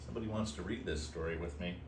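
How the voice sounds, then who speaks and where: A man reading a children's storybook aloud expressively, in a playful manner with casual asides. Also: low-pitched. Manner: unhurried, animated, playful. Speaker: host reading a picture book aloud to shelter kittens. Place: indoor room at an animal shelter. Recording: roomy, distant mic with faint noise.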